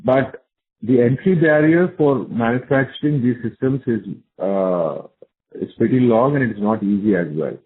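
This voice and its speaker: A man's voice: medium pitch, expressive, a little slow, slight background noise. A man with an Indian accent speaks as a company executive answering questions on an earnings call, assured and repeating key points. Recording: narrow tinny phone conference line.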